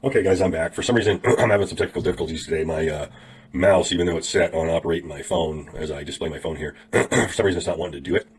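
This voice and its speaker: Man, hoarse from a cold, deep and husky, casual rambling tutorial host who clears his throat a lot. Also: rapid-fire. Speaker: YouTube affiliate marketing teacher. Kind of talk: casual tutorial talk to camera.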